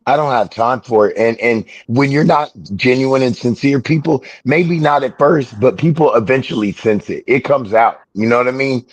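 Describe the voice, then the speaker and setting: A man's voice: mid-range voice, moderate pace, hardly any pauses, no echo, rough recording. Earnest podcast guy, voice kinda wearing out, dry close sound. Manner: earnest, repeats "you know what I mean". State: losing his voice. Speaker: guest host. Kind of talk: casual chat.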